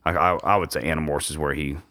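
A man's voice: extremely low pitch, fairly even intonation, quick pace, sharp tone. A man hosting a casual podcast chat, easygoing and rambling with lots of fillers. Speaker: podcast host. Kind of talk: casual chat over beers.

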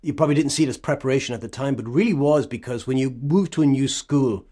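A male interviewer speaks thoughtfully and reflectively, with a middle pitch. He sometimes restarts his phrases as he works out his point. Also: fast, mellow. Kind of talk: conversational interview.

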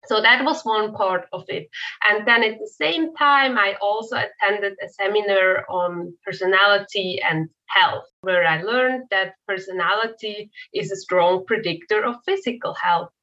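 A woman's voice: mid-range voice, unhurried, bright, forceful tone, highs cut off. A woman from Switzerland with a Swiss accent, a researcher explaining her work as an interview guest. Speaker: postdoctoral researcher.

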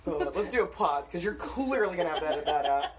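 A young woman co-hosts a casual podcast, spinning dating stories with lots of laughter. Her voice sits low but swings widely, and a faint hum runs underneath. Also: fast. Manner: animated storytelling with frequent laughter. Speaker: podcast co-host. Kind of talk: casual chat.

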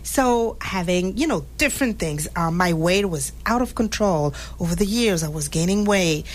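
A woman born in Haiti and raised in Montreal, telling her story as a guest in a casual interview, animated and often restarting her sentences. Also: lowish voice, normal speed. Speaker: podcast guest.

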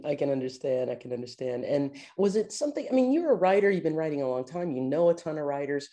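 Lively, enthusiastic interview host. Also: mid-range voice. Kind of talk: interview conversation.

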